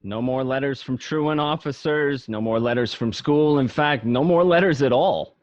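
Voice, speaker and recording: A man playing a cocky young wise guy looking back on his mobster youth in an amateur radio play, talking colloquially and a little haltingly. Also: medium pitch, no room sound, highs cut off, close to an everyday voice. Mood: defiant. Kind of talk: first-person narration in an amateur radio play.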